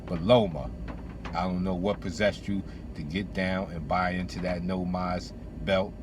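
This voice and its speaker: Emphatic low-voiced man over noisy ambience. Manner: emphatic, repeats phrases, slangy. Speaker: host giving boxing commentary. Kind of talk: casual opinionated sports talk. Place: in or beside a vehicle.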